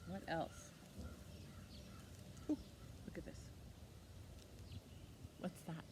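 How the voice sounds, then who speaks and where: A woman hosting storytime for young children, teaching in a sing-song way with simple questions and repeated key words. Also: very slow. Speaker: children's library storytime host. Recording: clear steady background noise.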